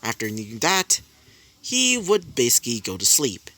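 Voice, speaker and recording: A man improvising a fan story with many fillers and repeated speech tags. His pace is slow and his pitch moves a lot. His voice is bright and slightly high. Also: wildly swooping pitch.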